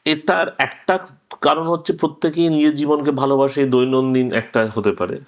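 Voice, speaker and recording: A man interviewing someone, making his argument conversationally and haltingly, in short fragments. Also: mid-range voice, steady tone.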